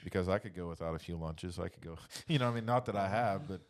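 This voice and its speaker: A man leading a casual faith discussion, reflective and halting, often dropping in "you know". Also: low-pitched.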